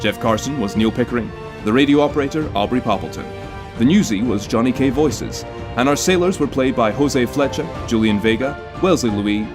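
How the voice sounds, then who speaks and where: A man announcing in a scripted old-time radio drama style, as the show's narrator. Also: mid-range voice. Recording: music bed behind.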